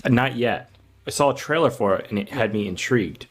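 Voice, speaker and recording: Wry man with lively, varied intonation. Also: mid-range voice, fairly quick. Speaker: podcast guest, fellow film show host. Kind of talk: casual film chat.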